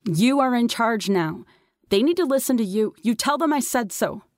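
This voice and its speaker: A woman narrator performs a besieged mother in an audiobook story. Her voice is lifted high and insistent, calling out with protective authority. The sound is very dry, with little room around it. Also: lively intonation, moderate pace, no echo, natural voice. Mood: firm, commanding. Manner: raised, insistent, ordering. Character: besieged mother, urgent, commanding, protective, shouting up to her children. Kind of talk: audiobook character dialogue.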